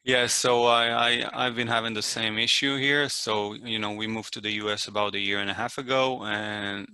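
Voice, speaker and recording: A man in his forties, raised in Israel, hosting a casual podcast interview in a friendly, halting way with many fillers. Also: lowish voice, steady tone, pushed and bright, clear, resonant tone, no echo. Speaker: podcast host.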